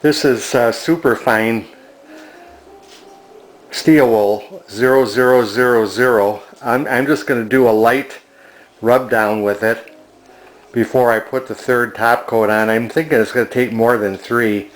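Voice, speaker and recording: An older man casually narrating a hands-on project in a halting, plainspoken, matter-of-fact way. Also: mid-range voice, fairly even intonation, rough recording. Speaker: antique restoration hobbyist demonstrating a refinishing project.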